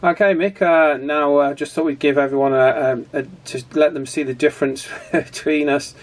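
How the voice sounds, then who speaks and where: A man's voice: on the high side, fairly even intonation, normal speed. A man chatting casually as he hosts a radio hobby video, hesitant and conversational with frequent tag questions.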